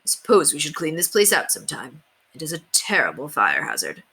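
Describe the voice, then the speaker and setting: A woman's voice: low voice, wildly swooping pitch, a little fast, gravelly, pushed and bright, breathy edge, full character voice. A woman voicing a mild, curious middle-aged father with a casual, matter-of-fact manner. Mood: musing.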